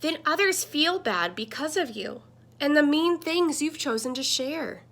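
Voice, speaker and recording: A woman voicing a friendly, earnest talking computer screen that gently scolds, in a children's picture book. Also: sits high, expressive, unhurried, voice pushed up, full character voice. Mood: earnest, warning. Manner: earnest, explanatory.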